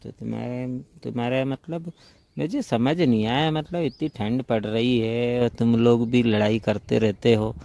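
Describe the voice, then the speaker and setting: Man acting a mellow, chatty young brother-in-law. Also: mid-range voice, fairly even intonation, unhurried, vocal fry. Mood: concerned, chiding. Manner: conversational, gossipy, rambling storytelling. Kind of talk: staged phone-call role-play, casual chat.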